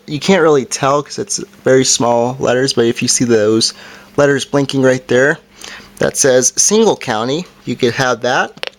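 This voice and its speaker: A man with a mid-pitched voice presents a homemade product demonstration. He talks informally and plainly, going through each part and setting one step at a time. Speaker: amateur video reviewer. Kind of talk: casual product review and demonstration.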